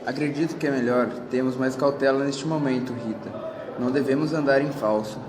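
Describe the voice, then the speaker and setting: A man's voice: medium pitch, fairly even intonation, fairly quick, natural voice. A noisy, echoing recording of a man playing a young man in a secret affair. He is skeptical and careful, with a mid-range voice, as in an amateur radio play. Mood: cautious. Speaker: student actor in a school radio play. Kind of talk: amateur radio drama acting. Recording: echoey room with clear noise.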